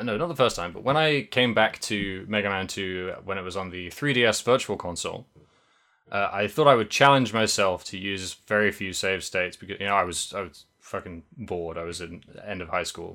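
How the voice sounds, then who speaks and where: Young man, podcast co-host, low voice, bright tone, rambling casual chat, self-correcting. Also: moderate pace, vocal fry. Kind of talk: casual podcast chat about a video game.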